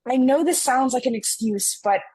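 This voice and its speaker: An actress as an outspoken Millennial American twin in a romcom read. Her voice is fairly high with some husk, and she comes across as earnest. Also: normal speed, dark tone, husky. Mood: awkward, polite. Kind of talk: table read of a romcom script.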